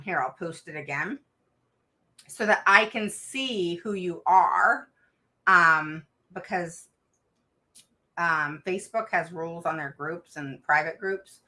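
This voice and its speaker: A middle-aged woman hosting a live stream for parents, reading out viewer comments. Her voice sits low but has a bright, forceful edge. She talks frankly, with plenty of fillers and restarts. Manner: calls herself a roaring mama advocate. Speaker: host of a live stream for special education parents.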